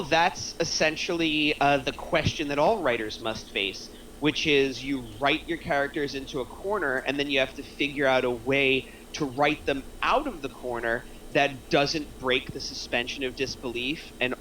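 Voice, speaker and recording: A man's voice: medium pitch, moderate pace, hardly any pauses, fairly bright tone, slight background noise, low-quality recording. A man giving casual, explanatory feedback in a group discussion, using examples as he goes. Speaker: script feedback panelist. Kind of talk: casual group critique of a screenplay.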